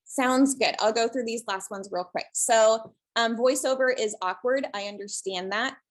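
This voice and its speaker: Lady on a video call, calm soft voice, lots of ums, easygoing. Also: on the high side, moderate pace. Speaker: guest presenter, shelter social media creator. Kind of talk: webinar presentation.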